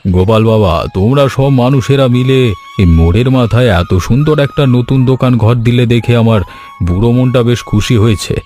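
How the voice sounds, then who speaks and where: A man's voice: medium pitch, brisk, dark tone, faint music behind, close to an everyday voice. A man voicing a kindly old village uncle in a cartoon story, with a fatherly, moralizing manner. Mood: pleased, tender. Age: older. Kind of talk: Bengali animated story voice acting.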